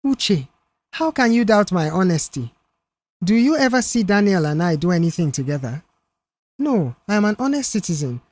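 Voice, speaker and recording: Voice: medium pitch, very animated, moderate pace, dry recording. A narrator voicing a slippery male workman in an audio story, chummy and protesting.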